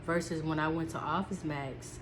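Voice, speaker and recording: A woman with a stuffed-up, sniffly nose talks through her notes in a low, relaxed voice. She chats along casually, filling pauses with ums and circling back over her points. A steady hiss sits under the recording. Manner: chatty, with frequent "um" and repeats. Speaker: small business vlogger.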